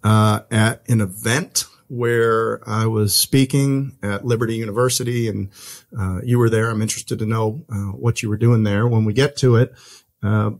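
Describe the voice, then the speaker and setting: A man's voice: lowish voice, steady tone, moderate pace. A man hosting a podcast interview in a friendly, curious way, speaking with a few ums. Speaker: podcast host. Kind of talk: conversational interview.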